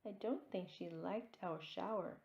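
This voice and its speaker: Storybook housekeeper, female, voiced by a woman narrator: cheerful, literal, matter-of-fact, unhurried delivery in a roomy space. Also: lowish voice, a little mellow, dull audio. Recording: roomy echo.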